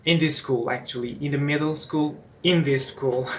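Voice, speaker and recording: A man in his twenties from eastern Iran with a Persian accent, talking casually about himself in a personal vlog, pausing often with fillers and restarts. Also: a little high, steady tone, a bit bright, a little hiss or hum. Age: 20s. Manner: hesitant, many fillers and restarts. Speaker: English teacher and student.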